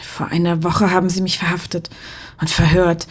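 Woman acting breathy, desperate woman in spy drama. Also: on the low side, level tone. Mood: distraught confession. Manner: halting, pleading, repeats words. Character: desperate, frightened young Soviet woman coerced into spying. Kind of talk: German audio drama acting.

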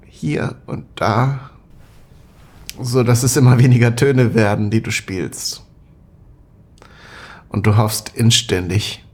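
A man acting a brooding, burdened character in an intimate audio spy drama, reflective and halting. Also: medium pitch, breathy edge.

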